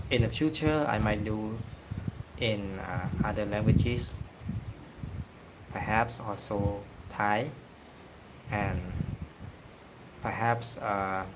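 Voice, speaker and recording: A man from Cambodia with a Cambodian accent, whose first language is Khmer, talking casually on a livestream and pausing often with fillers. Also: fairly low pitch, noisy background. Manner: hesitant, many pauses and fillers. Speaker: singing channel host.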